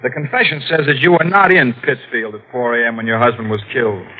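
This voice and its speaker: An actor playing a stern, methodical district attorney in a 1940s radio drama, speaking in a crisp, measured, accusing way. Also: male voice, medium pitch, fairly even intonation, lightly acted. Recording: muffled old radio broadcast.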